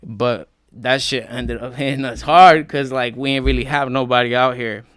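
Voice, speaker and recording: A young man from Colombia, a guest telling his life story in a relaxed, slangy way with many fillers. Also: mid-range voice, moderate pace, clear, resonant tone. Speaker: interview guest, rapper. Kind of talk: casual interview talk.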